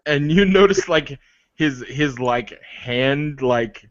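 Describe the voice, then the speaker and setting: A man's voice: mid-range voice, takes it slowly, no echo, highs cut off. A man casually narrating a character's actions in a tabletop roleplaying session. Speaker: roleplay game player narrating his character.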